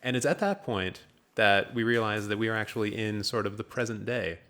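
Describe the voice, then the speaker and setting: A man's voice: lowish voice, fairly quick, a bit bright. A man hosting a podcast, giving a rambling, jokey, off-the-cuff recap in a casual chat style. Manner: rambling, jokey, improvised storytelling. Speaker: podcast host.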